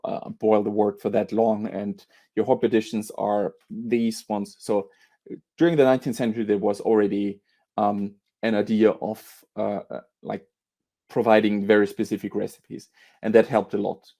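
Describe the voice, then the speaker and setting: A man with a low, mellow voice answers interview questions. He talks in a flat, even tone. He halts often, repeating words and filling gaps with ums. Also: normal speed. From Austria. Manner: hesitant, many fillers and repeated words. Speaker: beer author and historian, guest.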